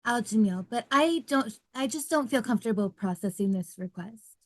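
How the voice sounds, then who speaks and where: A woman's voice: a little high, lively intonation, moderate pace, no echo. A woman playing a reluctant, by-the-book bank clerk in a comedy table read, formal and hesitant. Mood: disapproving.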